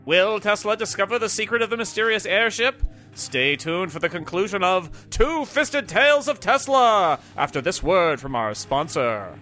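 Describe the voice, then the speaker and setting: A man's voice: high voice, animated, moderate pace, bright, forceful tone, soft background music. A man playing a dramatic, emphatic old-time radio serial announcer who builds suspense. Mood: suspenseful.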